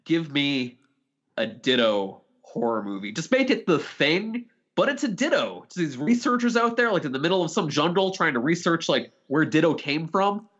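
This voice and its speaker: A man's voice: fairly high pitch, big pitch swings, moderate pace, fairly bright tone, highs cut off. A man co-hosting a podcast, chatting casually and bantering about films. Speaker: podcast co-host.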